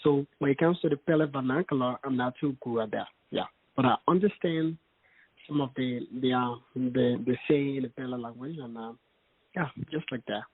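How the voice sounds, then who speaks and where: Guest: young man from Liberia, husky voice, earnest and halting, on a dry, tinny call line. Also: medium pitch, dry recording. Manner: earnest, hesitant, many fillers. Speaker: interview guest. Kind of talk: casual interview. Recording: dry, phone-like call audio.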